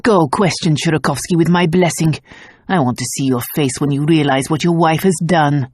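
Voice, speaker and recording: A proud, wiry aristocrat speaks in a measured, scornful way, in a voice slightly deeper than the reader's. A woman narrator plays him. The read is dry and close. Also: a little mellow, slightly rough, no echo.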